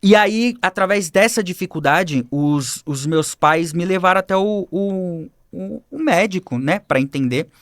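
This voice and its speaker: A man telling his life story as a podcast guest, animated and acting out the people he quotes, on a studio mic. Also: sits high, a little slow, hardly any pauses, no echo. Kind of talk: personal storytelling in a podcast interview.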